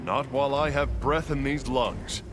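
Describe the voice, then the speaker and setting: A man's voice: medium pitch, expressive, unhurried, natural voice. A man playing an earnest, gallant veteran superhero in a video game, hearty and confident. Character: earnest, gallant veteran male speedster hero. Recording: loud music behind studio game voice.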